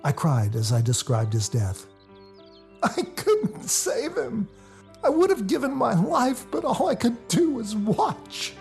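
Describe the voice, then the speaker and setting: A man reading a novel aloud as a first-person dramatic narrator, in a confessional, expressive storytelling manner. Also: very high-pitched, takes it slowly, creaky. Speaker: author reading their own novel. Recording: music under the voice. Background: music.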